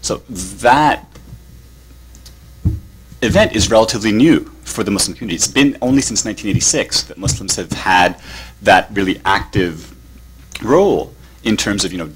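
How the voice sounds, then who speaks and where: A man with a Canadian accent giving a measured academic conference talk, with pauses. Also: on the low side, expressive, breathy edge, poor audio. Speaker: panelist. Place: conference hall.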